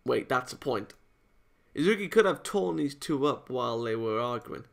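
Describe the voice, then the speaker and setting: Creaky-voiced man narrating a visual novel. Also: mid-range voice, expressive, moderate pace, a bit bright. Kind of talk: expressive scripted narration, reading aloud.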